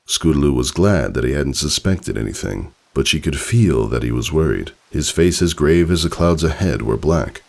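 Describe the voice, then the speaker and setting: Very deep, gravelly male storyteller. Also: moderate pace, few pauses. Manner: expressive, theatrical. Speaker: fan fiction narrator. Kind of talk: dramatic scripted story narration.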